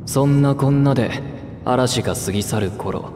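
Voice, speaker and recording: An actor voicing a calm, laconic male adventurer who narrates past events in a matter-of-fact way. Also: medium pitch, normal speed, roomy sound, big-room sound, slight background noise, close to an everyday voice. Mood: reflective. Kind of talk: reflective game narration.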